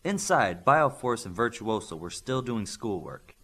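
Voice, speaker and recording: A man's voice: on the low side, measured pace, husky. A man reading a scripted fiction story as an audiobook narrator, acting out the dialogue as he goes. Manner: animated reading that acts out dialogue.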